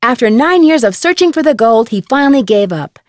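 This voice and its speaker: Actress as young woman sleuth, fairly high voice, calm, friendly, curious, mystery game dialogue, very dry sound. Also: fairly quick, dry recording, natural voice. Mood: composed, explaining. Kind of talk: video game voice acting.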